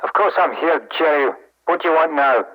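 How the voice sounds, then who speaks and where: A man's voice: a little high, normal speed, sharp tone, low-quality recording. A man playing a grumpy, easily offended Scotsman on the telephone, scolding and scornful. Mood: irritated. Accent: Scottish. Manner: indignant, scornful.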